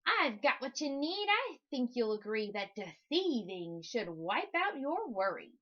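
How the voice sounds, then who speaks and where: A woman's voice: on the high side, big pitch swings, measured pace, dull audio. Woman as a slangy, shifty male con artist. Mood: slick, cocky. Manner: slangy, brash salesman patter. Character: tall lanky shifty male con artist, slick and pushy.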